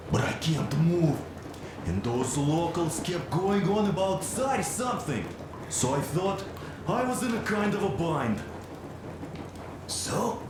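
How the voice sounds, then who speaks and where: A man's voice: high-pitched, background noise, exaggerated voice. A man playing a boastful, joking soldier in a video game, spinning a tall tale with teasing, theatrical timing. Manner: theatrical, teasing, drawn-out storytelling. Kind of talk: video game cutscene banter and storytelling. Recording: very echoey, music and rain behind.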